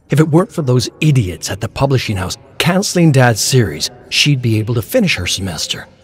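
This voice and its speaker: A man reading game lore as dramatic scripted narration, in a deliberate storytelling manner with dramatic pauses. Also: fairly low pitch, slight background noise.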